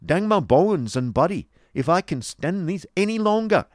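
A man's voice: high-pitched, lively intonation, normal speed, no room sound, clean studio sound, pitched above the performer's own voice. A man voicing a huge, angry young Yorkshireman with a blunt, threatening manner and a Yorkshire accent in a novel reading. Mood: furious, stern. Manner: blustering, emphatic, threatening.

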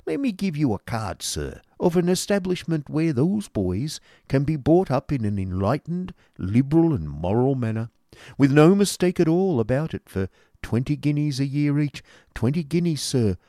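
A pompous, grasping schoolmaster pitches his school in a loud, raised, wheedling patter, as a man puts him on. He is a blustering middle-aged man who oversells everything. The sound is dry and close. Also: sing-song, normal speed, no echo.

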